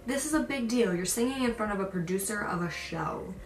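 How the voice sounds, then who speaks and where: A woman's voice: mid-range voice, animated, a little fast. Young woman vlogging in an echoey room. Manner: informal, emphatic, repeats phrases for encouragement. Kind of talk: casual chat to camera, storytelling and advice. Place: indoor room. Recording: roomy echo, distant mic, faint noise.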